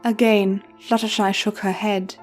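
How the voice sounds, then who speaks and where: A woman's voice: mid-range voice, little pitch movement, unhurried. A woman reading scripted storybook narration as the storyteller, with music playing behind her. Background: background music.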